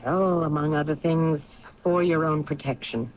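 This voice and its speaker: An actor playing a patient, probing homicide detective with an American accent and measured, hesitant questioning, in 1970s radio drama style. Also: male voice, fairly high pitch, close to an everyday voice. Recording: dull, noisy old radio recording.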